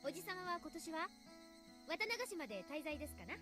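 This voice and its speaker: A woman's voice: extremely high pitch, rapid-fire. A woman voicing a brash, sassy teenage schoolgirl who teases people in an anime visual novel. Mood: teasing. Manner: sassy, teasing, animated. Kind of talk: Japanese anime game voice acting. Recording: loud game music underneath.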